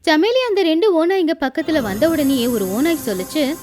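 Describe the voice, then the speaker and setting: A woman's voice: high-pitched, expressive, normal speed. A woman storyteller reading a scripted animal fable as narrator. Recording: music behind the voice.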